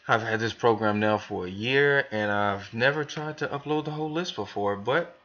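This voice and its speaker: Male trainer, lowish voice, informal and rambling step-by-step walkthrough, muffled lo-fi sound. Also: moderate pace, fairly bright tone. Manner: informal, rambling, thinking aloud. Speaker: business trainer demonstrating software to new hires. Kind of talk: casual screen tutorial, talking through steps.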